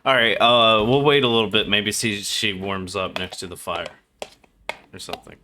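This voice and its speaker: A man's voice: lowish voice, steady tone, normal speed, pushed and bright, slight background noise. A man livestreaming a video game with casual, sweary, slangy chat and reactions. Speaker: livestream gamer host.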